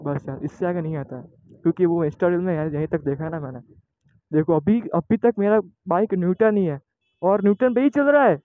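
Young male motovlogger from Gujarat, chatty and excitable, high voice, muffled helmet-mic audio with wind. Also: a little fast. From Gujarat, India. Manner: chatty and excitable, calls viewers guys. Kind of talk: casual motovlog narration while riding. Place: outdoors on a moving motorbike.

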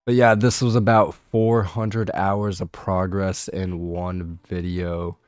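A young man with a raspy, hoarse voice from being ill, chatting casually over his gameplay and swearing now and then. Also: deep voice, level tone, slow, no echo, studio quality. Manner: laid-back, swears casually. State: hoarse from illness. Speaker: gaming video creator.

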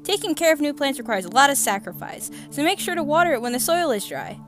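A woman voicing a sweet, bubbly young female crewmate in a comic dub, with a cutesy, expressive delivery. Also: high voice, fast talker, music in the background. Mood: earnest. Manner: cutesy, sing-song, expressive.

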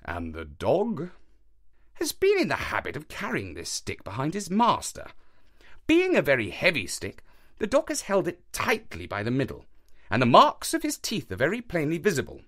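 A man voicing a brisk, self-assured gentleman detective, speaking in an emphatic, theatrical way with a high, lively, swooping delivery. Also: full character voice. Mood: lecturing. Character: brisk, eager gentleman detective, sharp and sure.

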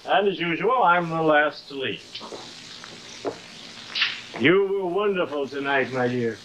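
Higher-pitched suave gentleman friend. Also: animated, a little slow, muffled recording. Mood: courtly, admiring. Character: suave, steady gentleman friend of a troubled actor. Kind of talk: 1950s live television drama acting. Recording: noisy, hissy old television soundtrack.

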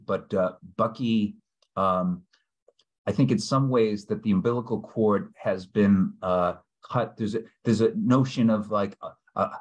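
A middle-aged man from New England speaks over a video call from a small room, hosting a book discussion. His voice is low-pitched and husky, and he pauses often with fillers as he thinks aloud. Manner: hesitant, many fillers, thoughtful. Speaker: book club host.

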